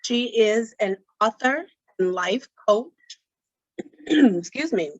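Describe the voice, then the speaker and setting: A woman with a raspy voice hosting an online event, speaking in a courteous, organised announcing manner. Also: on the high side, animated, slow pace, no room sound. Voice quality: raspy this evening. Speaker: host of an online symposium. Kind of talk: event introduction and hosting on a video call. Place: indoors on a video call. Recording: dull video-call audio.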